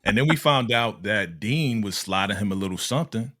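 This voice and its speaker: Laid-back man with a lively voice. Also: fairly quick, no echo, clean studio sound. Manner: laid-back, laughs often, drops to a low mumble. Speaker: show host. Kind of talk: casual TV recap chat.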